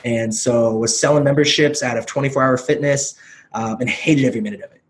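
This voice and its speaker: Man in his thirties, US guest, energetic storyteller, swears casually, mid-range pitch. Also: a little fast, a little hiss or hum. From United States. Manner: energetic, self-deprecating storyteller, swears freely. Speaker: podcast guest. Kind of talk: casual chat.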